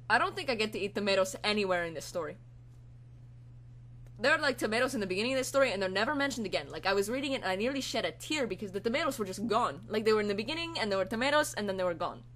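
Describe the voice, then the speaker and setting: A woman's voice: a little high, lively intonation, quick pace, pauses often, a bit bright. A teenage girl voicing a cheerful, scatterbrained young Spanish man in an improvised anime monologue, with a put-on Spanish accent and a playful, rambling manner. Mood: mock dismay.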